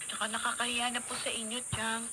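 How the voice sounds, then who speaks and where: An actress playing a meek, thrifty young student in a radio drama, polite and humble. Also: female voice, a little high, monotone, fairly quick, close to an everyday voice. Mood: humble, grateful. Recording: very noisy radio drama broadcast.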